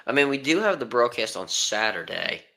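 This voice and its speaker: Bright-voiced young male sports podcast host. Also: medium pitch, sing-song, normal speed, vocal fry, rough recording. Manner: rambling with many fillers. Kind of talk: casual sports podcast talk.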